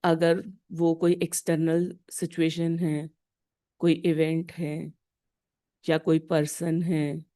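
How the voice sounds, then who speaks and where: A woman with an Indian accent leading a guided meditation in a deliberate, instructional way, pausing between short phrases. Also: deep voice, completely flat delivery, warm tone.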